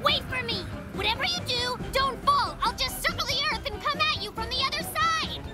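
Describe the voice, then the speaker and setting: A woman voicing a cheerful, boastful boy bear cub who invents things, bouncy and excitable. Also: very high-pitched, moderate pace. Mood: frantic. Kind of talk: cartoon voice acting. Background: music.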